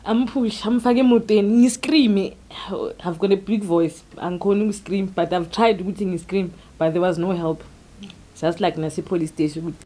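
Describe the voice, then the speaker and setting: Woman, mellow dark voice, interview testimony, code-switching, halting. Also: medium pitch, fairly even intonation. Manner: switching between Zulu and English, halting.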